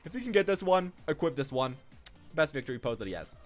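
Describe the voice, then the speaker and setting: A man hosts a casual gaming video with a very lively, swinging pitch. He rambles off the cuff and repeats his phrases, with faint music behind him. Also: quick pace. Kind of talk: casual off-the-cuff gameplay commentary.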